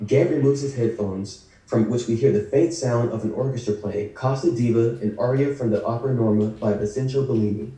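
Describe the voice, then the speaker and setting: A man's voice: medium pitch, fairly quick, hardly any pauses, mellow. A man reading screenplay action lines as the narrator of a table read. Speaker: script narrator. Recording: very echoey room, distant mic.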